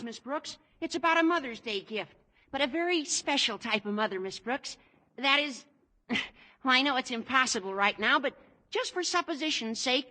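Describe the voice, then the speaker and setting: Performer doing an eager, bouncy teenage boy. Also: sits high. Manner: earnest, excitable chatter. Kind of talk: 1950s radio sitcom acting.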